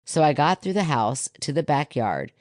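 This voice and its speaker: Woman with a super low voice, skeptical teasing podcast banter, swears a bit, dry close sound. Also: animated, moderate pace, darkish tone, dry recording. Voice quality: very deep voice. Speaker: podcast co-host. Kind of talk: casual banter and reading listener letters.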